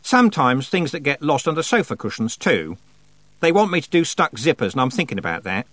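Man voicing a high, wry, tired goddess woman. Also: very animated, brisk, a bit bright, dry recording. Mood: offhand, wry. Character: skinny, tired, offhand goddess woman, chain-smoking and wry. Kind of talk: audiobook character voice.

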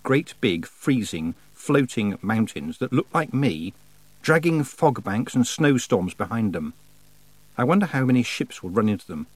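A man voicing a godlike, lovestruck winter spirit in a storybook, speaking slowly and deliberately. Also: medium pitch, sing-song, no room sound, poor audio. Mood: proudly wooing. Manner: slow, deliberate. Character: godlike male winter spirit, slow and besotted.

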